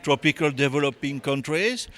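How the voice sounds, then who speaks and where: A man's voice: a little high, animated, moderate pace, fairly bright tone. French-accented man, hesitant professor. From France. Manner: hesitant, many fillers. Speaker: viticulture professor. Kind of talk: conference interview.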